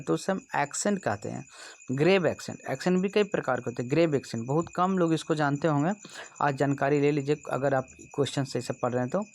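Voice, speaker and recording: A man's voice: fairly high pitch, vocal fry. A man from India with a Bihari accent, speaking as a computer teacher in an explanatory exam-prep lesson, instructive and often checking that students follow. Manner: instructive, repeatedly checks understanding.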